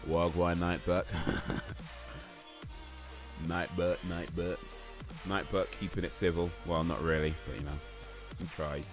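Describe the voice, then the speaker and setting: A man's voice: low-pitched, level tone, a little slow, pauses often, music in the background. A man hosting a livestream, chatting casually with viewers and reading quiz statements aloud, rambling and hesitant with lots of fillers and restarts. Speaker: livestream host.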